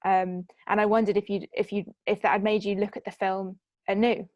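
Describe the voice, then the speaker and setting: A woman's voice: mid-range voice, level tone, fairly quick, darkish tone, no room sound, clean studio sound. A woman moderating a conversational panel Q&A, phrasing her questions in a thoughtful, roundabout way. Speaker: moderator, film producer hosting a Q&A.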